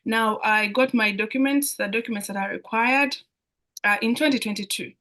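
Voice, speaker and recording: A woman's voice: a little high, moderate pace, sharp tone. A young woman from Uganda with a Ugandan accent, explaining things as a student guest in a live online Q&A, often repeating phrases as she goes. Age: young adult. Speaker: international student guest speaker on a Q&A.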